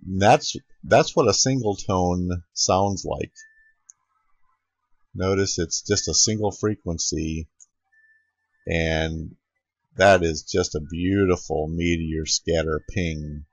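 A man's voice: low-pitched, takes it slowly, long pauses, slightly rough, dry recording. A man narrating an informal screen-capture tutorial as an amateur radio operator, recorded close on a dull computer microphone.

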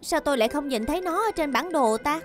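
Cô gái anh hùng giọng cao. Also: female voice, sits high, animated, quick pace, music in the background. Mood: concerned. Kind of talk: Vietnamese-dubbed cartoon acting.

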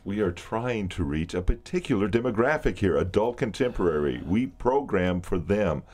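Deep-voiced, stern radio boss. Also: male voice, animated, moderate pace, darkish tone. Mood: exasperated. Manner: authoritative, clipped. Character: stern, exasperated male radio program director. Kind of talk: audio drama acting.

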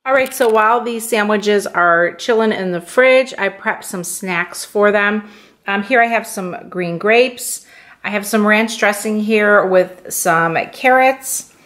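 A woman's voice: medium pitch, takes it slowly. A woman in her thirties, a mother, casually talking through a lunch prep at her kitchen counter, chatty and easygoing. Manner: chatty, relaxed, fillers like "go ahead" and "like". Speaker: home cook, meal prep channel host. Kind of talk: casual cooking demonstration voice-over.

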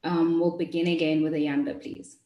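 Young woman, moderator, polite, slightly low voice, echoey room, video call. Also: level tone, lo-fi sound. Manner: polite, brisk hand-offs.

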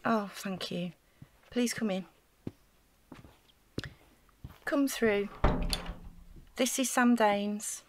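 A woman's voice: medium pitch, slow, slight background noise. An actress playing an anxious, vulnerable woman in a naturalistic audio drama, hesitant and emotional, with sighs. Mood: flustered. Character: Rachel, anxious, vulnerable young woman, apologetic and earnest.

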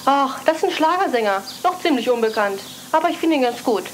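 A woman's voice: high-pitched, expressive, a little fast, a little hiss or hum. An actress playing a chatty, easygoing young woman friend talking on the phone. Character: chatty young female friend, pop music fan. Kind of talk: 1980s radio play acting.

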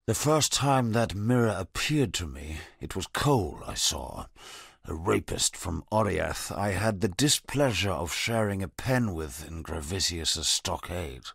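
An actor playing a bitter, disfigured male convict in a video game, talking in a low, brooding, sardonic way with a gravelly, husky voice. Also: fairly bright tone, dry recording, heavily acted. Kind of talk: game character voice lines. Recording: dry studio recording.

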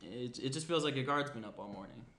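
A man's voice: medium pitch, lively intonation, fast, a bit bright. A young man playing a laid-back, frank, teasing guy with casual, hesitant phrasing in a table read. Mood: earnest. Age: young adult. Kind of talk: naturalistic screenplay table read. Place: small indoor room at a table. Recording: roomy, faint background noise.

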